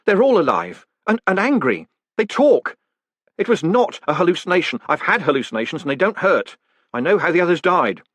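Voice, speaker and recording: A man voicing a panicked young male con man who talks in frantic, high-strung bursts. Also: very animated, a little fast, a bit bright, dry recording.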